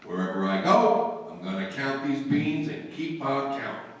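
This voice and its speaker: An actor playing a bumbling, distracted working-class family man in old-time radio comedy, with a slow, deliberate delivery. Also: medium pitch, animated, close to an everyday voice. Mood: preoccupied. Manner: slow, deliberate, droning counting. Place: live stage performance. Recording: roomy stage recording, distant, faint noise.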